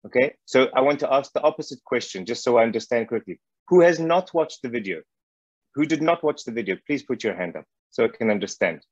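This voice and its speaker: A man from South Africa in his thirties lectures informally on a video call. His voice is medium in pitch, with a hesitant, gently joking way of talking. The sound is close and dry but a little muffled. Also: expressive, quick pace, breathy edge, no room sound. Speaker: guest lecturer. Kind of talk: informal online teaching talk. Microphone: wireless earbuds on a video call.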